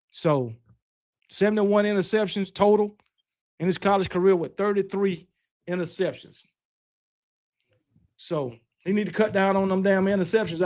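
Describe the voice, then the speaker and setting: High male voice, Southern US accent, sports talk host, blunt, argumentative, emphatic repetition, casual debate. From Alabama, United States. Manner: blunt, argumentative, emphatic, repeats himself for effect.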